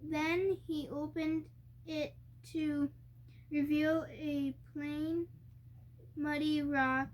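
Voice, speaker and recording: A young girl reading a storybook aloud haltingly, sounding out and stumbling over harder words. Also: high-pitched, flat delivery. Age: child. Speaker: young reader co-hosting a family reading video.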